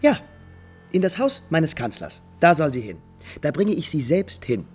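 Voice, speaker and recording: An actor playing a smooth, scheming prince in a 1950s German radio drama, speaking in a courtly, condescending, declamatory way. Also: male voice, on the high side, sing-song, moderate pace, darkish tone, faint music behind. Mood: persuasive. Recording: vintage 1950s radio recording.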